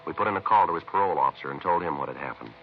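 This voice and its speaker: An actor playing a terse, methodical American police detective, narrating casework in a clipped, matter-of-fact 1950s radio crime drama style. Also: male voice, extremely low pitch, animated, rapid-fire, a little hiss or hum. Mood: clipped, deadpan. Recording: muffled vintage radio recording, faint music.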